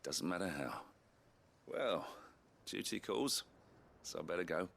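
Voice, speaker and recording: An actor playing a loyal, plain-spoken army captain in a fantasy game, sincere and courteous. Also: male voice, deep voice, lively intonation, unhurried, creaky, fairly bright tone, slightly rough, full character voice. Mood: conflicted, earnest. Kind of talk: scripted game dialogue.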